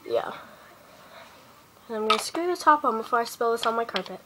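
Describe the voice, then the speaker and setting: A teenage girl with a slightly high voice, stuffy and sniffly from a cold, chatting her way through a makeup routine. She speaks close to a small device mic in her bedroom, with a faint hiss of noise behind her. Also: expressive, fairly quick. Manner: chatty, step-by-step, talking while applying makeup. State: sick and sniffly. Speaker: beauty vlogger. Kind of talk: casual makeup tutorial. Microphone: handheld device camera close up.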